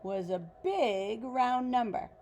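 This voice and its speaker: A woman reading a children's picture book aloud like a teacher, expressively and deliberately, with questions to the listeners. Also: fairly high pitch. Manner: animated, deliberate, pauses to ask listeners questions.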